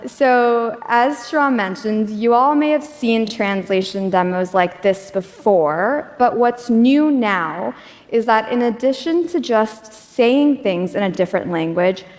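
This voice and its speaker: A woman's voice: a little high, a little slow, darkish tone. A woman giving a live tech demo on stage, casual and chatty with filler words. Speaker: demo presenter. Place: stage in a large auditorium. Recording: echoey hall.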